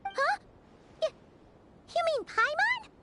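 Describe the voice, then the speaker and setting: A woman voicing a small, childlike, cheeky fairy companion in a video game, with a squeaky voice. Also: big pitch swings, slow, very noisy, exaggerated voice. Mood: chirpy, curious. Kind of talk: video game character acting.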